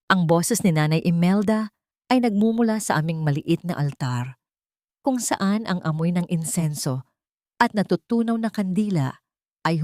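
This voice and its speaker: A woman reading scripted first-person dramatic narration as an audiobook narrator, solemn and deliberate. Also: deep voice, a little mellow, no echo, clean studio sound.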